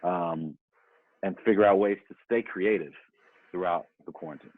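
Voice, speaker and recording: A man with a fairly low voice talks in a loose, slangy, conversational way as an interview guest. He sounds thin and narrow, like a phone call, with some room echo around him. His stories come out in a casual ramble. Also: moderate pace. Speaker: podcast guest, music performer. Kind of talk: casual chat, telling career stories.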